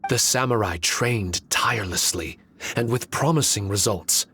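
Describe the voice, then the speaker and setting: A slow, measured male guardian spirit, solemn and wistful. He speaks formally and reflectively, like a devoted servant in a dramatic game scene, over clearly audible background noise. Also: lowish voice, sharp tone. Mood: wistful, resolute. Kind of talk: scripted dramatic game dialogue.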